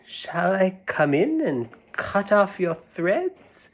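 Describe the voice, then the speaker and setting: Man putting on a high, coaxing storybook cat. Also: sing-song, takes it slowly, heavily acted. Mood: coaxing, sly. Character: sly, coaxing female cat luring little mice.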